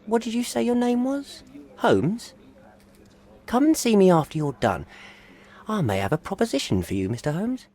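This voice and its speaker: An actor playing a chatty, affable and put-upon police desk officer in a video game, informal and wry. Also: male voice, high-pitched, sing-song, normal speed, long pauses, darkish tone, heavily acted. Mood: friendly, sly.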